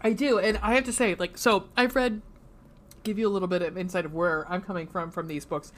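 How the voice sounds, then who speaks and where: Low-voiced woman chatting casually. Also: fairly even intonation, brisk. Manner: chatty and profane, trails off with ums. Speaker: podcast co-host. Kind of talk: casual chat.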